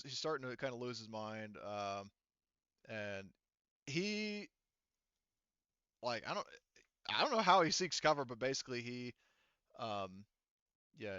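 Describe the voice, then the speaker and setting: A man runs a tabletop roleplaying game in a casual, improvised way. His voice is clear and medium in pitch, and he often pauses to think out loud. The recording is close and dry, with a slightly muffled top end. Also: no room sound. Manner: halting, thinking aloud while working out rules. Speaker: game master.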